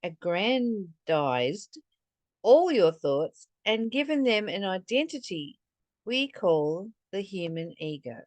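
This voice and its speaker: Female spiritual teacher, unhurried and earnest, reflective reading with commentary, close dry sound. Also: medium pitch, big pitch swings, no echo. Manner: deliberate, earnest, posing rhetorical questions to the listener.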